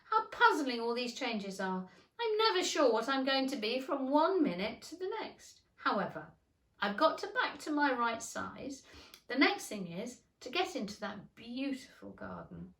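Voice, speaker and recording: A polite, curious little girl in a storybook, played by a woman, speaking earnestly and a touch primly. The voice is high and lilts with lively intonation in an echoey room. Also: a little slow, exaggerated voice. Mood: musing. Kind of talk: storybook character reading. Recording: roomy, echoing room.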